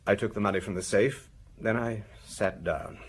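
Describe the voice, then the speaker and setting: An actor playing a shaken, vain husband in a radio drama, speaking in a halting, anguished, defensive way. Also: male voice, fairly low pitch, husky. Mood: numb, confessional. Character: shaken husband, a vain well-dressed provincial, wife found murdered.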